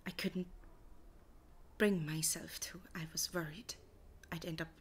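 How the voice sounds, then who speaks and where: Casting: anxious, wounded wife for audio drama; lowish soft voice, slow halting delivery, background ambience. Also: female voice, steady tone, slight background noise. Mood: pained, resigned. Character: hurt, anxious wife of a missing man, soft-spoken. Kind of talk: audio roleplay acting.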